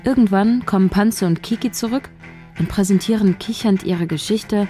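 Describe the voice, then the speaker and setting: A shy young woman scriptwriter narrates her own thoughts in a radio play, voiced by an actress. Her delivery is quiet and self-doubting, in a mellow, soft tone at a middle pitch. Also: moderate pace, soft background music, natural voice.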